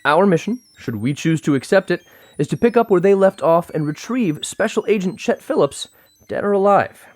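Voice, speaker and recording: A woman playing a brisk, officious government agent with a dry, exasperated manner in an audio drama. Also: on the low side, expressive, a little mellow. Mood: official. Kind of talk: audio drama acting, briefing delivery.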